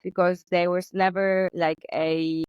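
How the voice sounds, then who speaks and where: A woman's voice: lowish voice, flat delivery, takes it slowly, clear, resonant tone, no echo, lo-fi sound. A young woman, talking earnestly as a podcast guest, with many fillers. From Poland. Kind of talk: conversational interview.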